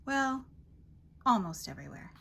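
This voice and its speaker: A woman storyteller with a high, very lively voice reads a children's bedtime story aloud. She is theatrical and expressive, leaning on dramatic pauses and emphasis. There is some faint noise behind her. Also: creaky. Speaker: library storyteller reading picture books aloud.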